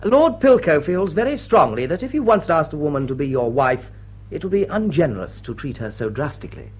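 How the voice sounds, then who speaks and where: An actor playing a suave, drily witty English lord with an upper-class British accent, formal and deliberate in a period radio drama. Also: male voice, on the high side, very animated, husky, dull audio. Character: suave, sardonic English lord chairing a meeting. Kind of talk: period drawing-room radio drama acting.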